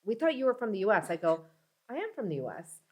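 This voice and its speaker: A woman's voice: medium pitch, fast. A woman in her fifties with an American accent, a guest chatting casually in an interview and often acting out what other people said. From United States. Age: 50s. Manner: animated, laughs often, acts out quoted voices. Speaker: mental health practitioner.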